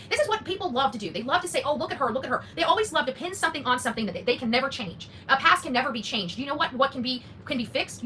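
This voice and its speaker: A woman's voice: sits high, pushed and bright, slight background noise. A woman hosting an unscripted, opinionated monologue, blunt and defiant, often repeating phrases for emphasis and swearing freely. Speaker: online content creator and host.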